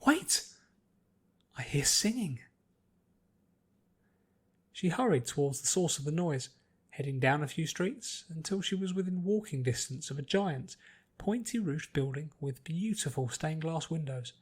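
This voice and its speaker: A man's voice: fairly high pitch, expressive, moderate pace, darkish tone, breathy edge. A man reading scripted story narration as an audiobook narrator.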